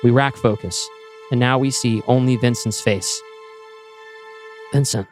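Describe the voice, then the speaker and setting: A man narrating a scripted screenplay in the present tense, with measured, descriptive storytelling. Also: medium pitch, steady tone, soft background music. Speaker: narrator and host.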